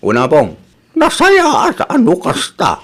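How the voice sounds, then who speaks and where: A man's voice: high voice, wildly swooping pitch, a little slow, slightly rough, heavily acted. A man playing a boastful, lying young suitor in an Ilocano radio comedy drama, with an Ilocano accent and an exaggerated, stammering delivery. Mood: pleading, scheming.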